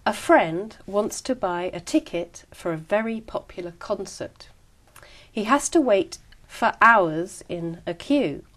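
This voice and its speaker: Polite woman examiner, measured prompts. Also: mid-range voice. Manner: clear, measured, polite instructions. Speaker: speaking exam examiner. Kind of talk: scripted exam prompts.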